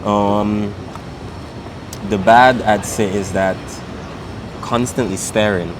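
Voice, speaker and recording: A young man with a fairly low voice talks in a loose, unhurried way, full of fillers. He is recorded outdoors on a city street. Street and traffic noise is clearly heard behind him. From Saint Vincent and the Grenadines. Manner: relaxed, many fillers like "um" and "like". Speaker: interviewee. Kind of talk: casual street interview.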